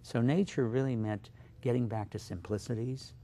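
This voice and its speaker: A man whose first language is Slovak, a poet guest giving thoughtful, halting interview answers with many fillers. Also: mid-range voice, fairly even intonation, mellow, slight background noise.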